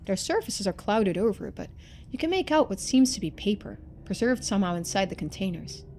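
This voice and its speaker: A woman streamer reading a story game aloud in expressive narration, with casual asides. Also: mid-range voice, a little fast, a little hiss or hum.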